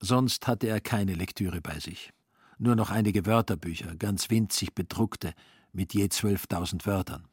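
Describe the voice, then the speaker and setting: A man with a low, husky voice narrates a literary radio drama. The delivery is dry, ironic and measured, recorded very close without room sound. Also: no room sound.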